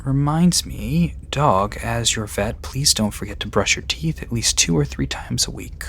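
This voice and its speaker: A man playing a gentle, nervous young vet in an audio drama, polite and given to hesitant stammering. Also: mid-range voice, expressive, unhurried, a little hiss or hum. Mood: friendly.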